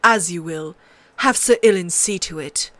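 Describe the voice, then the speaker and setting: A domineering middle-aged male king, acted by a woman narrator. He is gruff and brusque, irritably handing down commands in an audiobook. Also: fairly low pitch, a little slow, bright, forceful tone, no echo. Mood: irritated, final. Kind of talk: audiobook character voice.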